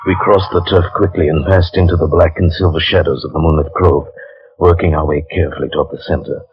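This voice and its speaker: An actor playing a grave, intense adventurer in a 1940s radio horror drama, telling his tale in measured, ornate, dramatic phrases. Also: very deep voice, steady tone, over loud music. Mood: hushed, watchful. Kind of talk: 1940s radio drama acting, first-person narration. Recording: muffled old 1940s radio recording.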